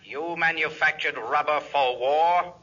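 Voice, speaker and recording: An actor playing an arrogant visitor from outer space in a 1950s radio serial, giving orders in stilted, formal speech. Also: male voice, fairly high pitch, unhurried, bright, forceful tone. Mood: stern, threatening. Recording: dull, raspy 1950s radio recording.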